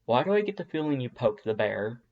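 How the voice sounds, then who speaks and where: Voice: mid-range voice, very animated, a little fast, muffled recording. A reader voicing a laid-back, teasing skeleton brother, a young man with a casual, understated drawl.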